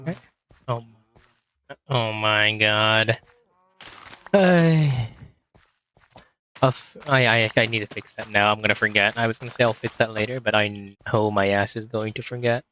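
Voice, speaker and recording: A man with a hoarse voice, quietly talking himself through a game as he plays, in a relaxed, slow, muttering way. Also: lowish voice, a little hiss or hum. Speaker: gaming video host. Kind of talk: casual gameplay commentary.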